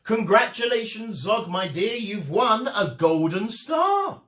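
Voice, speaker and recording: A man's voice: high-pitched, sing-song, slow, fairly bright tone, roomy sound, voice pushed up, exaggerated voice. A man voicing a bossy, encouraging female dragon schoolteacher in a storybook, with a grand, declamatory delivery.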